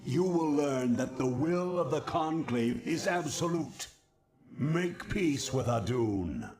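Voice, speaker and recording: A man's voice: on the high side, lively intonation, takes it slowly, noisy background. A man voicing a haughty, zealous alien judge in a video game, with a pompous, formal and scornful manner. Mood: indignant scorn. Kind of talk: theatrical video game voice acting.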